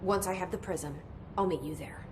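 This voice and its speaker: An actress playing a resolute young woman who leads a bureau, speaking in a flat, controlled voice. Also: fairly low pitch, normal speed, background noise, close to an everyday voice. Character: resolute young woman director, guarded, direct. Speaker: director of a bureau.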